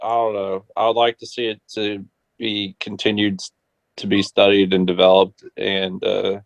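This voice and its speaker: A man chatting on a radio panel in a halting, unsure way, on muffled audio. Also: completely flat delivery, creaky, dry recording. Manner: hesitant, halting. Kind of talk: casual discussion. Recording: muffled, dry low-fidelity call audio.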